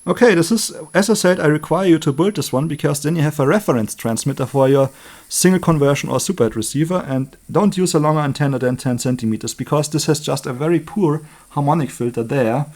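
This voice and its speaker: Higher-voiced man thinking aloud. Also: quick pace, darkish tone. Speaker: electronics hobbyist presenter. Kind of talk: unscripted hands-on electronics demonstration.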